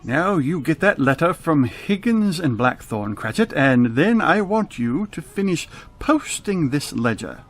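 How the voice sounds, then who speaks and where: A man playing a grim, ill-tempered old miser in a radio play, gruff, barking and scornful. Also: on the high side, big pitch swings, unhurried, hardly any pauses, slight background noise. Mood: cold, threatening.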